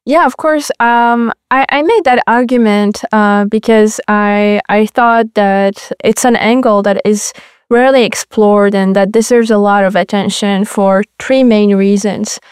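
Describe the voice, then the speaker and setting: A woman speaks in a very smooth, clean voice that stays level and even in pitch. She lays out her points carefully as an interview guest. The sound is dry and close. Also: measured pace, no room sound. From Morocco. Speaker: guest, research fellow. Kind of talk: interview answers.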